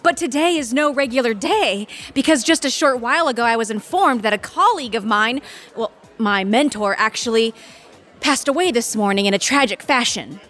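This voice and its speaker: An actress playing an uptight, ambitious lawyer under stress, formal, hesitant and defensive, in an audio drama. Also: female voice, fairly high pitch, expressive, normal speed, a bit bright. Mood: stressed, startled.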